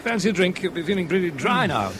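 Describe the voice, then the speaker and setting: A man's voice: high voice, animated, fast, music in the background. An actor playing an affable, worldly British airline man in a 1970s radio drama, breezy, jocular and colloquial. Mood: casual, friendly. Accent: British English.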